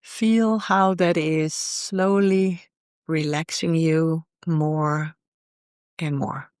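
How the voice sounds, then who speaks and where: A woman leading a guided meditation in a soothing, unhurried way, often pausing in the middle of phrases. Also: low-pitched, steady tone, darkish tone, clean tone, no echo, studio quality.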